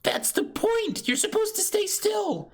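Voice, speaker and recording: Man doing a squeaky, scolding little doctor. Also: huge pitch swings, a little slow, vocal fry, heavily acted.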